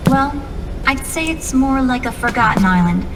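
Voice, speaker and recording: Earnest young officer, reverberant, high-pitched. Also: female voice, animated, normal speed, over music. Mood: calm, reassuring. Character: earnest, composed young female military officer giving briefings. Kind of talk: cinematic video game dialogue. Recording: long reverb, clear background noise.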